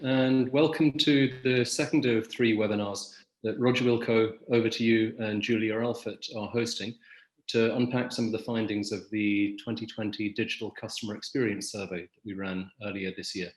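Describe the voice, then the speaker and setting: A man hosting a business webinar, introducing the session and presenting research findings in a measured, articulate way. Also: on the low side, steady tone, reverberant, rough recording. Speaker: webinar host.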